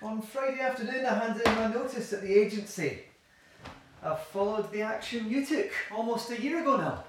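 Jovial, liberated drinker , female actor, lively and swooping, easygoing, set back in a reverberant room. Audio play. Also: a little high, moderate pace, natural voice. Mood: celebratory. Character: jovial hard-drinking agency worker, freshly liberated. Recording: very echoey room, distant, faint noise.

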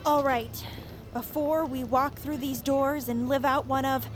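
An eager young woman in an audio drama, with a fairly high voice. She is chatty and a bit rambling, pushing her friends along, with music clearly behind her. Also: fairly even intonation, unhurried. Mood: eager, persuasive. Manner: hesitant rambling turning to pushy pep talk. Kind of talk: naturalistic audio fiction acting.

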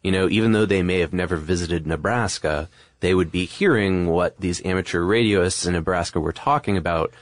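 Podcast co-host: American male, deep register, informal explainer who feels his way through sentences, dry studio sound. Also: no echo. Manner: informal, halting, thinks aloud with repeated phrases. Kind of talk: casual conversational explainer.

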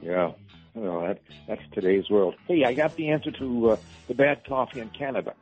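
A man's voice: medium pitch, animated, normal speed, a little mellow, breathy edge, soft background music. A man calling in to a radio show by phone, chatting casually in a folksy, easygoing way. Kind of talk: casual chat. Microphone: telephone. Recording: dry, tinny phone line.

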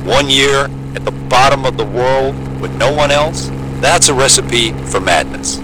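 A man's voice: medium pitch, measured pace, lightly acted. A man acting as a blunt, skeptical pilot in an audio drama, talking in a casual, sweary, folksy way. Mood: incredulous, warning. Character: blunt, skeptical, chatty male Antarctic bush pilot. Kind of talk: naturalistic audio drama dialogue. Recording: loud engine hum and vehicle noise.

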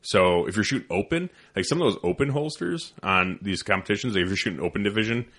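A man with a deep voice that mumbles a little, chatting casually as host and swearing freely. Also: animated, quick pace, a bit bright. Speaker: podcast host. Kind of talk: casual chat.

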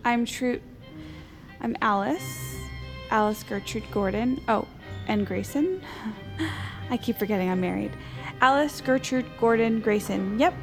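Flustered young woman aide, highish voice, earnest and rambly, music behind. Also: lively intonation. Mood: nervous, flustered. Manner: nervous, halting, awkwardly chatty. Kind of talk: audio drama acting. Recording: music underneath.

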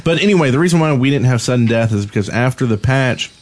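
A man's voice: mid-range voice, expressive, quick pace. A man co-hosting a gaming podcast in casual banter, with a teasing, joking manner. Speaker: podcast co-host.

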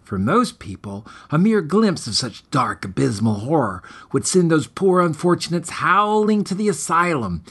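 A man narrates as host and author with a wry, mock-grave air. His pitch moves expressively through ornate phrases, and his voice has a slightly rough, husky finish. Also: a bit bright. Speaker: podcast host and author reading his own story. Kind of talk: wry introduction and dramatic story narration.

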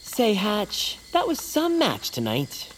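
A man's voice: high voice, huge pitch swings, takes it slowly, fairly bright tone, a little hiss or hum, exaggerated voice, poor audio. A man playing an earnest, eager, boyish best pal in an audio comedy drama, with chipper, old-fashioned wholesome phrasing. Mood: upbeat, encouraging. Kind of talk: cartoonish audio drama acting.

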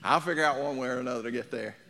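A man's voice: mid-range voice, rapid-fire, vocal fry, sharp tone. A man in his sixties preaching a sermon in a folksy way, telling anecdotes and putting questions to his listeners. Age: 60s. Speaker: pastor preaching. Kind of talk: sermon to a congregation.

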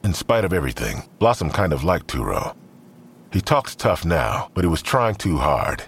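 Male narrator for fiction podcast, exceptionally low pitch, scripted storytelling, slight rumbling noise underneath. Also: very animated, moderate pace. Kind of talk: scripted audio drama narration.

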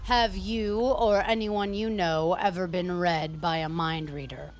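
A woman's voice: fairly low pitch, fairly even intonation, a little slow, a little hiss or hum, natural voice. A woman playing a rigid, authoritarian checkpoint officer, speaking in clipped official phrases in an audio drama. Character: stern, rigid female checkpoint officer giving orders. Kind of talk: audio drama acting, formal interrogation.

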